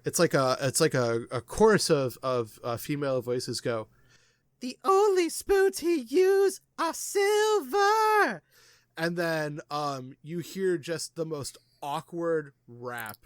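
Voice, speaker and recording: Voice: mid-range voice, smooth, no echo, clean studio sound. A game master narrating a tabletop wrestling game, rambling with lots of fillers. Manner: lively, rambling with many fillers.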